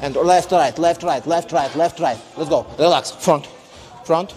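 A man's voice: on the high side, a little slow, darkish tone. A man coaching boxing, calling out short counts and commands in broken English. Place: boxing gym ring, indoors.

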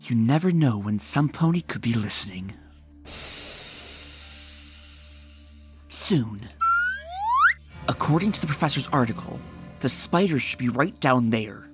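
A woman voicing a bold, confident female adventurer pony in a comic dub, talking briskly and commandingly. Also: rumbling low, animated, music in the background, voice pushed down. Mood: secretive.

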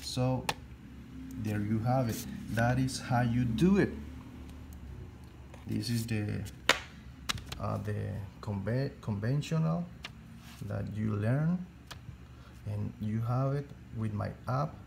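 Slow, gravelly man with a Spanish accent. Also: mid-range voice, very noisy. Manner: halting, restarts phrases, searches for English words. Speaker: electrical teacher. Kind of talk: slow step-by-step tutorial.

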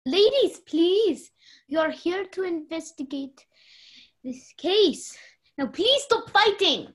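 A woman's voice: very high voice, lively intonation, slow pace, heavily acted. A young woman playing a polite, flustered hostess in a radio mystery play. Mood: flustered pleading. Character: polite, flustered hostess who hired the detectives.

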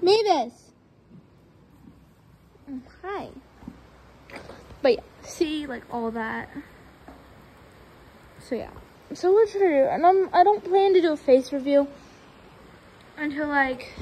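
High-voiced young woman chatting to cats. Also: very animated, takes it slowly, pauses often, darkish tone, a little hiss or hum, poor audio. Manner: chatty, giggly, coos at her cats in a high baby voice. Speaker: vlogger. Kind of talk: casual barn tour vlog. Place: indoor barn room with cats. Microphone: phone held in hand.

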